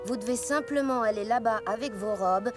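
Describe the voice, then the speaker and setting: A woman voicing a businesslike pony backstage staffer in a French cartoon dub, giving directions briskly. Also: on the high side, music in the background, lightly acted. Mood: brisk, instructing.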